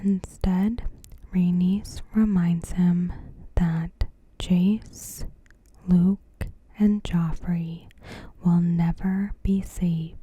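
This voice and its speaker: A woman narrates in ASMR style with a very breathy, whispered voice. She takes her time, moving extremely slowly through carefully phrased recap narration. Her tone is soft and very dark, without any hard edge. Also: fairly low pitch, fairly even intonation, rough recording. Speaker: ASMR creator.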